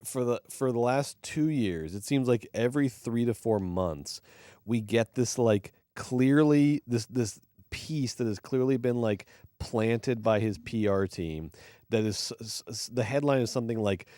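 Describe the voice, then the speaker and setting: A man co-hosting a movie review podcast, ranting with heavy emphasis and swearing freely. His pitch sits in a middle range. The sound is close and dry. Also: unhurried, dark tone, dry recording. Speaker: podcast co-host. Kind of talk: casual movie review chat.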